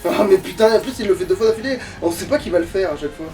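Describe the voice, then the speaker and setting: A man's voice: sits high, lively intonation, rapid-fire, vocal fry, mellow, faint music behind. A man doing casual, rambling gameplay commentary, swearing and sounding exasperated. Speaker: let's play gamer. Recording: distant mic with light background noise.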